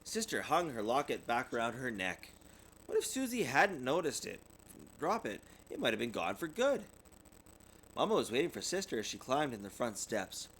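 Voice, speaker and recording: A man's voice: mid-range voice, very animated, normal speed, a bit bright, a little hiss or hum, rough recording. A man reading a children's story aloud expressively, like a parent, with the occasional stumble over words. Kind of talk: animated storybook narration.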